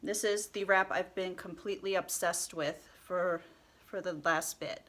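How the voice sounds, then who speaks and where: A woman with a stuffy nose from a cold, calmly talking through a step-by-step tutorial and often dropping to a soft whisper. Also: fairly low pitch. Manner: calm, unhurried, often drops to a soft whisper. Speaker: head wrap tutorial host.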